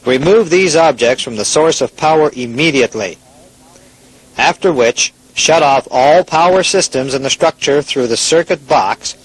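A man's voice: medium pitch, breathy edge, rough recording. A man acting as a stern official announcer, reading formal, authoritative emergency attack instructions in a radio drama.

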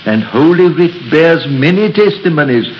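An actor playing a grave, earnest elderly preacher who pleads and warns, in a 1930s radio drama. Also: male voice, fairly high pitch, expressive, normal speed, slight background noise, lightly acted. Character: solemn elderly male preacher, fearful and pleading. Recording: muffled old radio broadcast.

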